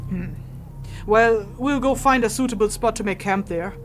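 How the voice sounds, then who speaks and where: A woman's voice: mid-range voice, normal speed, over music, close to an everyday voice. A woman acting as a stern, practical non-human huntress in a fantasy audio drama, blunt and exasperated with humans. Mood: matter-of-fact.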